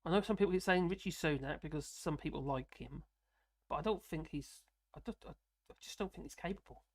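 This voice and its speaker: A man from the UK with a fairly high voice, hosting a live stream and rambling casually through viewer comments with frequent chuckles. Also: fairly quick. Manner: rambling, self-deprecating, chuckling often.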